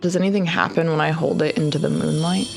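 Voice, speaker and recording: Low, creaky-voiced woman playing tabletop. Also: steady tone, quick pace, music in the background. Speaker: tabletop game player. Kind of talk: casual in-game chat and narration.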